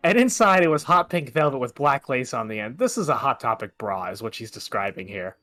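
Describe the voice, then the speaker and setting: A man's voice: medium pitch, expressive, a little fast, bright, forceful tone, faint music behind. A man reading fan fiction aloud casually, breaking in with amused, sarcastic asides.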